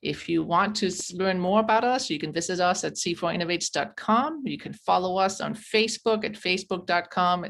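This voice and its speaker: A woman's voice: on the low side, a little fast, gravelly, few pauses, a bit bright. Woman hosting a webinar. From Denmark. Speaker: webinar host, chief learning officer. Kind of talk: presenting a webinar.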